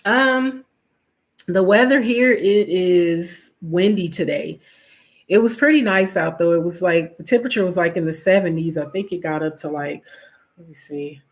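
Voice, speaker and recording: A woman's voice: fairly low pitch, normal speed. A woman, nasal and sniffly from allergies, casually chatting with viewers on her stream. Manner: chatty, reads viewer names, trailing fillers. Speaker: livestream host.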